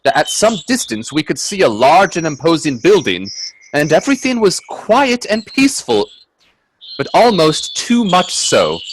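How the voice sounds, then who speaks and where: An actor playing a timid, wonder-struck gentleman companion in a radio play, with genteel, hesitant delivery. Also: sits high, heavily acted, rough recording. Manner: hesitant, stammering in dialogue, genteel phrasing. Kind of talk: radio drama acting and first-person narration. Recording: husky dry close audio from video-call performance.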